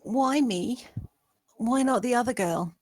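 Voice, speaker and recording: A timid young housemaid, acted by a woman at a table read. She speaks slowly and softly, hesitating before she answers. The sound is dry and close, and her manner is obedient and reserved. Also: on the high side, dry recording. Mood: nervous, submissive. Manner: hesitant, deferential, soft-spoken. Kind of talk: dramatic script table read.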